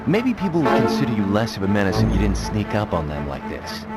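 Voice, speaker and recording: A dry, weary newspaper reporter in a video game. He scolds in a lowish voice. Loud music plays behind him. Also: wildly swooping pitch, fast. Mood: dry scolding. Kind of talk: video game cutscene acting.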